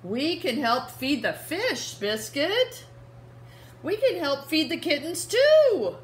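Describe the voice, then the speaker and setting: A woman voicing a cheerful little girl in a storybook, speaking in bright sing-song exclamations. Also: high-pitched, very animated, takes it slowly, a little hiss or hum, voice pushed up, exaggerated voice.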